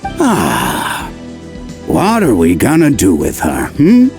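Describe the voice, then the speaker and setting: A man's voice: sits low, wildly swooping pitch, slow, exaggerated voice. A man playing an exasperated but caring middle-aged uncle in a fantasy video game. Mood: musing, warm. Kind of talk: video game story voice acting. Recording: music under the dialogue.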